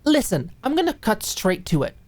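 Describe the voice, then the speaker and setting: A woman's voice: medium pitch, sing-song, normal speed, a little mellow, pitched above the performer's own voice. A woman voicing a blunt but caring young woman in an audiobook drama, talking casually and insistently to her friend. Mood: exasperated, insistent. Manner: casual, emphatic, insistent, uses filler "like".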